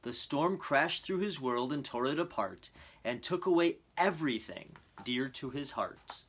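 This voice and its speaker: Guy doing kids storytime, normal pitch, earnest and expressive, measured phrasing. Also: breathy edge. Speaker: storybook reader. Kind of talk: expressive children's story narration and introduction.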